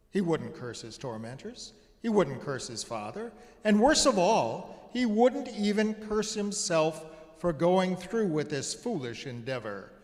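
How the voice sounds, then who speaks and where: Man acting slow, bitter Hate in an echoing church. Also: high voice, exaggerated voice. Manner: theatrical, deliberate, with pauses. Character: Hate personified, bitter, scornful, boastful spirit. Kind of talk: dramatic church reading, monologue. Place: church sanctuary during a service. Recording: reverberant church hall.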